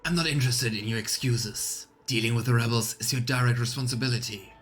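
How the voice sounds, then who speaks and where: A man's voice: medium pitch, brisk, a bit bright, faint music behind, natural voice. Curt, imperious aristocratic captain, read by a man. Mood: stern. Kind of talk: read-aloud game dialogue.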